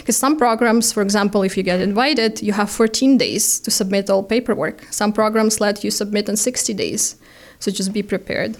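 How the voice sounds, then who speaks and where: A woman's voice: medium pitch, steady tone, fast talker. A woman from Ukraine with a Ukrainian accent and Ukrainian as her native language, chatting as a podcast guest and explaining things step by step with examples. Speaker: guest, immigration consultant. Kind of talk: conversational podcast interview, explaining.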